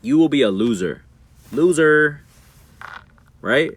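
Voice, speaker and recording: A young man talking casually to his viewers about marriage and faith, reading a passage aloud and breaking into slangy, animated asides. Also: mid-range voice, slow pace, slightly rough. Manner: animated, rises sharply when worked up. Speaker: streamer talking about religion and relationships. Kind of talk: casual monologue.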